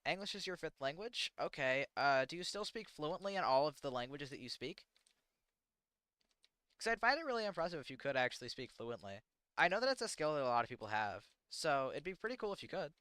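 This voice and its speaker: Teen American male streamer, bright crisp voice, halting and self-deprecating, dry close mic. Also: a little high, no room sound. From United States. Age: teens. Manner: halting and rambling, self-deprecating, many fillers. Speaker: livestreamer. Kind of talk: casual livestream chat answering chat questions.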